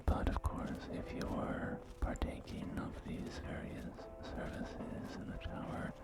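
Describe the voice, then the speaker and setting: Whispering man, slow ASMR real estate agent. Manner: polite, hesitant salesman patter. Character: courteous real estate agent in a post-nuclear wasteland. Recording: whisper under loud background music. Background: music and game audio.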